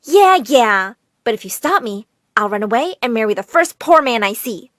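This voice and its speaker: A headstrong young daughter, played by a woman storyteller, protests in a high, whiny voice. Her pitch jumps about as she insists on getting her way, in a dry story recording. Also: sing-song, moderate pace, a bit bright, no echo. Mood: defiant. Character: strong-willed, indignant young daughter, spoiled but defiant.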